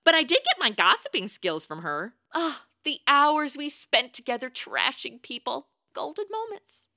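A woman's voice: high-pitched, big pitch swings, moderate pace, bright, forceful tone, exaggerated voice. A woman acting a sardonic, irritable, hard-drinking publicist in a comic radio play, with slangy, sarcastic delivery. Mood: flippant.

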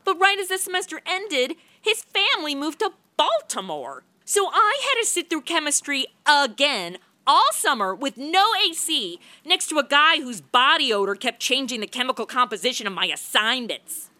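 A woman playing a brash, shameless, sarcastic woman who wisecracks deadpan in a comic radio play. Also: high-pitched, normal speed, few pauses, no echo, exaggerated voice. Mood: sardonic. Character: brash, shameless, self-absorbed sarcastic woman, Miss Standish.

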